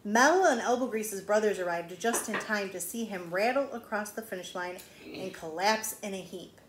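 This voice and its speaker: A woman's voice: medium pitch, normal speed. A woman reading a picture book aloud to young children like a teacher, emphatic, with explanatory asides. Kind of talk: expressive read-aloud storytelling for young children. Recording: echoey room with faint background noise.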